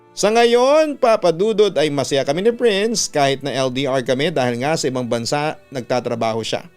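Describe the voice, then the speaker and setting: A Filipino man reading listener letters as a radio storytelling host, with a smooth, clear voice. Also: a little high. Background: instrumental music.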